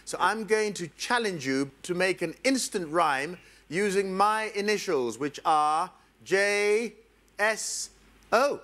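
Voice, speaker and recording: A man's voice: high voice, lively intonation, slow, sharp tone. Playful quiz show host, a man. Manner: playful, teasing banter. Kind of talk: scripted narration and quizmaster questions.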